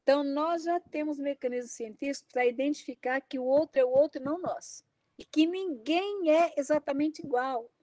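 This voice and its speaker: A woman's voice: high-pitched, normal speed, warm tone, dry recording. A middle-aged woman with a Brazilian Portuguese accent, giving an impassioned academic talk, emphatic and exclaiming. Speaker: invited lecturer.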